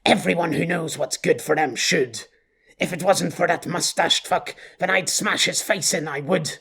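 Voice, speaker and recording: A man voicing a blustering, boastful petty male gang boss who rants and bosses his crew around, with a husky voice. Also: fairly high pitch, normal speed, fairly bright tone, full character voice. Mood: ranting. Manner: boastful, bossy, rough-talking.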